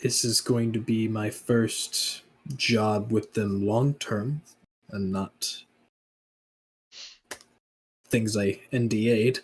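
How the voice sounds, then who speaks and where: A man playing a laconic, obedient hired gun and veteran in a tabletop roleplay, halting, deferential and deadpan. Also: on the low side, husky, full character voice. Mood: dry, deferential. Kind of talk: improvised in-character tabletop roleplay.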